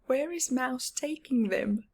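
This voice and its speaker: Lady reading kids storybook, high voice, really slow and animated, hushed bits. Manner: drawn-out words, drops into hushed whispers. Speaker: children's storyteller. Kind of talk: expressive picture-book read-aloud for young children.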